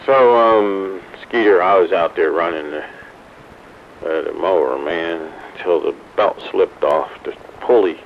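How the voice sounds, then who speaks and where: A man's voice: low voice, unhurried. A man chatting casually over CB radio in folksy slang, through a muffled, noisy transmission. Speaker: radio operator.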